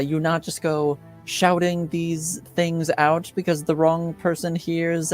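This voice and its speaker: A man playing a cautious, patient mage in a tabletop game, with hesitant, measured, formal phrasing. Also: on the high side, voice pushed up. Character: cautious, patient male mage, politely placating.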